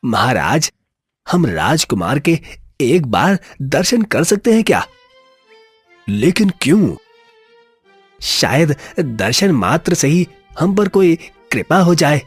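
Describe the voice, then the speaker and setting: A man voicing a humble, anxious village man in a cartoon moral story, pleading deferentially in a husky voice. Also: fairly high pitch, big pitch swings, slow, no echo, soft background music, full character voice.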